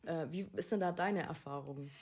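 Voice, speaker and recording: A woman hosting a talk, calmly explaining background information to listeners. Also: low voice, normal speed. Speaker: podcast host.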